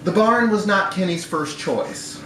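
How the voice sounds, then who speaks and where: Guy reading a short story out loud at a live event, wry, roomy sound, bit far from the mic. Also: high-pitched, animated, unhurried. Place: bar room at a live event. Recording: echoey, noisy live room, distant mic.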